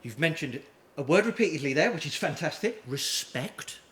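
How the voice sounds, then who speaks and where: A middle-aged man giving an energetic conference talk, firing questions at the audience. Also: fairly high pitch, moderate pace, a little hiss or hum. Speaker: conference speaker. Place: conference hall.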